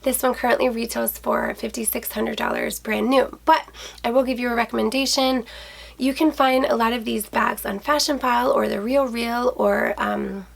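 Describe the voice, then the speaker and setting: A woman's voice: on the high side, fairly even intonation, normal speed, creaky. A woman in her thirties sounding nasal from a head cold, chatting cheerfully to camera in a casual handbag review from a small closet room. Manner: bubbly, chatty, addresses viewers as guys. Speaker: fashion vlogger. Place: small indoor closet room with shoe shelves.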